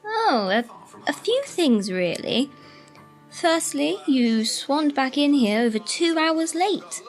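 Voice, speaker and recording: Woman acting a high-voiced, knowing female carer. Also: sing-song, slow, soft background music, full character voice. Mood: knowing, teasing. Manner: sardonic, knowing, mock-scolding. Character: wry, conspiratorial female care-home worker, knowing and affectionate. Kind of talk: amateur radio play acting.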